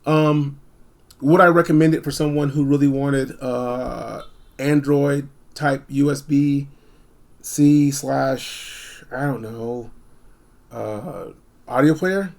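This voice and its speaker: A man giving a casual, unscripted gadget review, blunt and informal, rambling and repeating phrases for emphasis, with a gravelly, husky voice. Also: mid-range voice, slow, darkish tone. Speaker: product reviewer.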